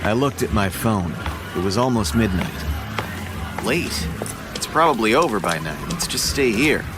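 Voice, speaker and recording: A man's voice: lowish voice, expressive, normal speed, music in the background, lightly acted. An actor in his thirties narrating a horror story in the first person in a dry, sardonic way. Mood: wry. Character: wry man narrating his own ordeal. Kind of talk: scripted horror audio drama narration.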